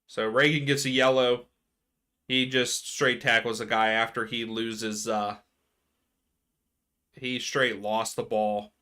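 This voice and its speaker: A man hosting a live soccer watchalong stream, chatting casually with viewers and ranting about fouls. His voice is going hoarse. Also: medium pitch, level tone, takes it slowly, long pauses, bright, forceful tone. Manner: chatty, reads chat aloud, rants about fouls. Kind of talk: casual live match commentary.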